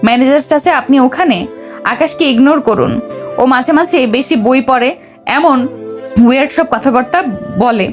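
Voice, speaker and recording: A woman playing a proud young female boss, brisk and secretly flustered, in an audio romance story. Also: high voice, music in the background, lightly acted. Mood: composed, brisk. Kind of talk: audio story dramatized acting.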